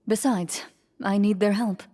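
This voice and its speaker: A woman playing a composed young swordswoman in a video game, speaking in a measured, formal, calm way. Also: mid-range voice, natural voice. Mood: calm, firm. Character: composed, stern but kind young female swordswoman. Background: game music.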